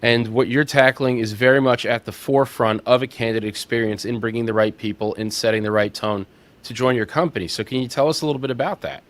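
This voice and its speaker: A man hosting a podcast, opening with a brisk, upbeat intro and then chatting with his guest. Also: medium pitch, steady tone. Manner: upbeat, scripted-sounding intro. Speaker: podcast host. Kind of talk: podcast interview.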